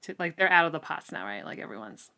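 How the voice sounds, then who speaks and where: A woman's voice: deep voice, animated, fast talker, bright, forceful tone. A woman co-hosting a casual book club podcast, rambling and laughing often, with plenty of "um" and "like". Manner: giggly, rambling, many "um"s and "like"s. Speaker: podcast co-host.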